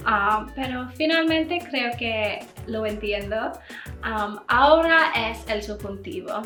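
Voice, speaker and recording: A woman from England with an English accent, speaking her second language in a casual Q&A vlog, chatty and quick to laugh, with small learner slips. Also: fairly high pitch, expressive, pushed and bright, echoey room, soft background music.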